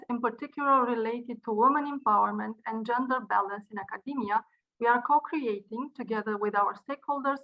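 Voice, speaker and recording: A woman from Romania with a Romanian accent, a non-native English speaker, delivering a prepared speech in an earnest, measured way with occasional restarts, over a video call. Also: on the high side, highs cut off. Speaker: university director giving a speech.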